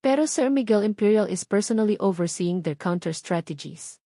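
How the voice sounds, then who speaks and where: A woman's voice: mid-range voice, lively intonation, moderate pace, mellow, no room sound. Female narrator as office assistant, Filipino accent, formal, deferential, respectful, audio drama, dry sound. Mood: businesslike.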